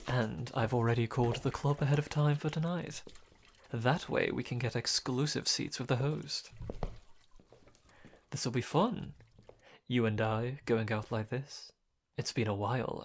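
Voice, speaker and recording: A man's voice: mid-range voice, vocal fry, slight background noise. A man playing a suave, teasing and devoted guardian demon with a menacing edge in an audio drama. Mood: languid, pleased.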